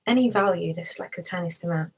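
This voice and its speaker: A woman hosting an ASMR live stream, chatting to listeners in a hushed, soft voice that often drops into a whisper. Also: fairly low pitch, quick pace, husky. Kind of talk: ASMR, soft whispering and soft talk with mouth sounds.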